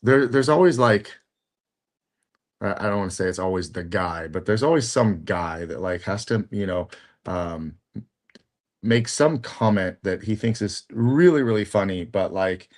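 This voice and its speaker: A middle-aged man co-hosting a podcast in casual chat, talking in halting bits with lots of fillers and restarts. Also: on the low side, animated. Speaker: podcast co-host.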